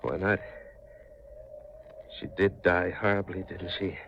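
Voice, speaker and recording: Deep, gravelly, brooding widower. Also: male voice, fairly even intonation, takes it slowly, fairly bright tone, full character voice. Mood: grim, bitter. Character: grief-stricken, obsessed widower, brooding and stubborn. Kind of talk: 1950s radio drama acting. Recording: muffled, noisy 1950s radio recording.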